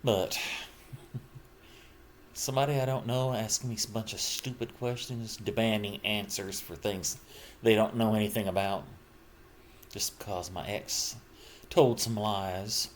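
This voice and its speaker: Slow, halting low-voiced man. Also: steady tone, slight background noise. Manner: halting, broken phrases with trailing pauses. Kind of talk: casual personal monologue, rambling reflection.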